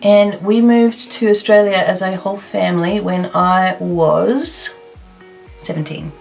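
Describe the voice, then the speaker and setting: A woman's voice: mid-range voice, fairly even intonation, a little slow, vocal fry, a little mellow, soft background music. A woman in her thirties from South Africa, chatting and thinking aloud as she does her makeup in a get-ready-with-me video. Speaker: beauty vlogger. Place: plain indoor room.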